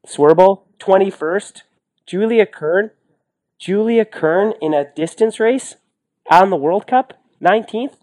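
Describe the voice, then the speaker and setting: A man's voice: sits high, slow, a little mellow. A man chatting casually about sports as a podcast co-host, enthusiastic and emphatic, often rambling as he goes. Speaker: podcast co-host and ski racing commentator.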